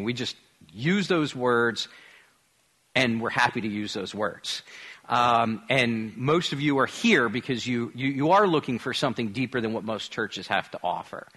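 Bright, forceful man teaching haltingly. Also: medium pitch, husky. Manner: halting, repeats and rephrases phrases. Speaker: pastor teaching his congregation. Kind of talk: informal church teaching on evangelism.

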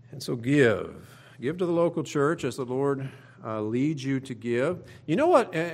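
A middle-aged man with an Oklahoma accent preaching a sermon as a pastor, in a folksy, conversational way with emphatic rhetorical questions. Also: medium pitch. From Oklahoma, United States. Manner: folksy, plain-spoken, conversational. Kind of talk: sermon to own congregation.

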